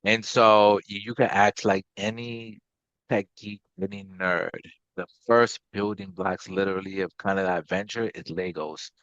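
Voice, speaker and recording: Male guest, from Guyana, flat intonation, casual explaining, close dry sound. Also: fairly low pitch, unhurried, no echo. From Guyana, later Maryland, USA. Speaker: interview guest, company CEO. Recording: slightly dull, lo-fi audio.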